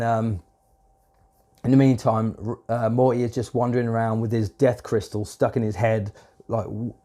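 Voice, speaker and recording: A man's voice: fairly low pitch, warm tone. Stuffy-nosed man rambling through a review. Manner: rambling, many fillers and casual swearing. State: stuffed up from a cold. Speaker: video reviewer. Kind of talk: casual spoken episode review.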